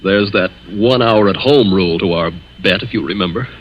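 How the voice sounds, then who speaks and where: A man's voice: on the low side, normal speed, lightly acted. An actor playing a nerve-racked young man hunted by his stepfather, tense and dramatic in the 1940s radio style. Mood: defiant. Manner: tense, agitated, dramatic delivery. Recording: dull, noisy old 1940s radio recording.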